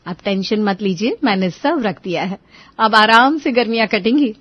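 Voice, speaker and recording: A woman's voice: medium pitch, animated, normal speed, dry recording, muffled recording, lightly acted. A woman voicing a harried, outspoken young housewife in a cartoon family story. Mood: agreeable. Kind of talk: cartoon family drama acting.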